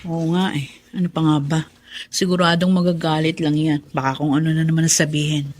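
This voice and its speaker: A woman's voice: low voice, level tone, fairly quick, a little mellow, dry recording. An actress playing a weary, dutiful middle-aged mother in a radio drama. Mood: weary, resigned. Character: weary dutiful mother caring for her ageing father.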